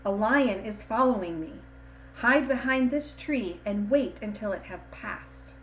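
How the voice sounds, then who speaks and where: A woman voicing gentle, protective animal creatures of the forest in a storybook, in a kindly manner. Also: a little high, animated, a little slow, a little hiss or hum, low-quality recording. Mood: concerned, kind.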